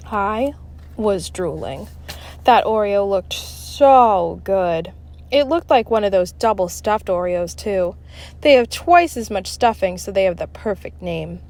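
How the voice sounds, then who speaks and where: A woman reading a children's book aloud in an expressive, emphatic storytelling style. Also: mid-range voice, slow. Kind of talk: read-aloud story narration.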